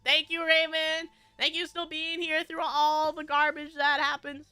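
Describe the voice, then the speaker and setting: A woman's voice: high voice, monotone, unhurried, pushed and bright, dry recording, faint music behind. A woman streamer with a stuffy nose, chatting casually with her viewers in a bubbly, rambling way with frequent giggles.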